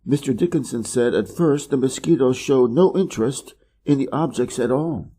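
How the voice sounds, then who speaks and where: Man with an american midwest accent, very dark mellow tone, slightly husky, measured careful reading for learners. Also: medium pitch. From Wisconsin, USA. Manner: measured and deliberate, clearly pronounced. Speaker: reader of an English learning channel. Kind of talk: slow, careful reading aloud.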